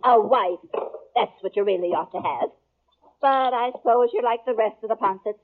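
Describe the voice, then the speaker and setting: A woman's voice: a little high, moderate pace, close to an everyday voice. An actress playing a bossy, motherly middle-aged aunt in a Western radio drama, with an American accent and folksy, insistent chatter. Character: bossy, fussing, motherly aunt in the Old West. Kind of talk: 1950s radio drama acting. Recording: muffled 1950s radio recording.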